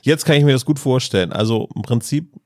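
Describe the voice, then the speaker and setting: A man's voice: mid-range voice, fast talker, a little mellow, no room sound, clean studio sound. A man co-hosting a podcast, joking in casual chat. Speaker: podcast host. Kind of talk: casual chat about an audio drama.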